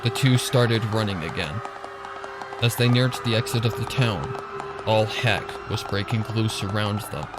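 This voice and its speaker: Low-voiced man narrating a dramatic story. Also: measured pace. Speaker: fan fiction narrator. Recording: loud music and effects behind. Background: loud music.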